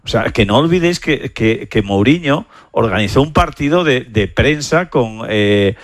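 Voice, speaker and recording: A man from Galicia debating on a sports radio panel, at a middling pitch. He is insistent and repeats himself, halting as he goes, in a dry studio. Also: lively intonation, no room sound. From Galicia, Spain. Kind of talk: casual debate.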